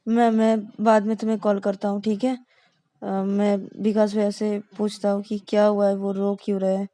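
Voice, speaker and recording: A woman's voice: medium pitch, completely flat delivery, moderate pace, warm tone, no room sound, studio quality, close to an everyday voice. A woman voicing an anxious young man who keeps pressing his friend with worried questions. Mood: unsure.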